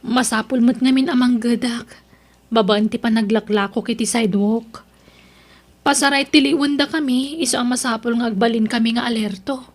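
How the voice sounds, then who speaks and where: A woman's voice: on the high side, fairly even intonation, normal speed. A woman playing a struggling single mother who sells vegetables on the street, plaintive, in an Ilocano-accented audio drama. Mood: weary, wistful.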